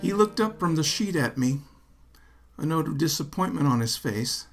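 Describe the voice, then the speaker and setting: A man's voice: fairly high pitch, expressive, moderate pace, gravelly. Wry male narrator with music behind. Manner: wry, wordy, theatrical. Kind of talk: scripted first-person story narration. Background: plucked guitar music.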